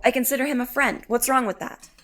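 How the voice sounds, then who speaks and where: High, sharp young sister played by a woman. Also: steady tone, quick pace, a little hiss or hum. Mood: defensive, indignant. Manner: sharp, indignant. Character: feisty, defensive young sister, exasperated. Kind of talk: audio drama acting.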